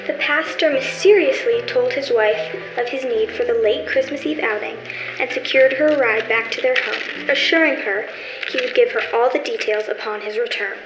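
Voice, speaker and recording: A woman's voice: on the high side, normal speed. A woman narrating a scripted story in the style of an old-time radio play. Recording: echoey room, music behind.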